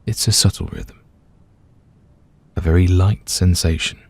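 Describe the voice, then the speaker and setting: A man gently and calmly leading a scripted guided meditation, letting his voice soften at the ends of phrases. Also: deep voice, a little slow, creaky, no echo. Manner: gentle, calm, soothing, hushed at phrase ends.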